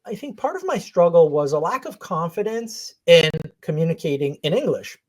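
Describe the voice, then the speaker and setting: A woman with a low voice talks as a podcast guest. She grew up in Israel and speaks slowly and thoughtfully, laughing easily as she reflects. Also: sing-song, a little mellow. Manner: earnest, laughs often, slow and thoughtful. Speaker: coach, interview guest. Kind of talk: reflective interview talk.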